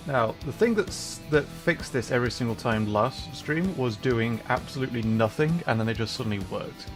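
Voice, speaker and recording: A man streams a game and talks loosely with viewers, often laughing and sharing behind-the-scenes trivia. His voice sits at an ordinary middle pitch. Faint music plays underneath. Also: moderate pace. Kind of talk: casual gameplay livestream chat.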